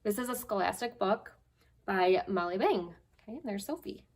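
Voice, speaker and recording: Woman teacher with mid-pitched voice. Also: expressive, moderate pace, a little mellow. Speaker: teacher and guest story reader. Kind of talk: casual talk and picture-book read-aloud for children. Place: basement.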